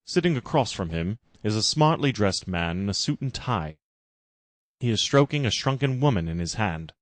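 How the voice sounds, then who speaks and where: A man's voice: fairly low pitch, animated, moderate pace, no echo. A man giving a scripted narration of a parody story. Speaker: reader.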